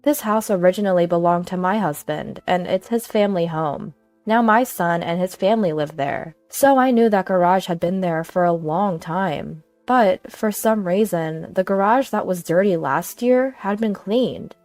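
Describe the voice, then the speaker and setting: A woman's voice: lowish voice, normal speed, darkish tone, no room sound, faint music behind. A woman reading scripted first-person dramatic narration as a storyteller.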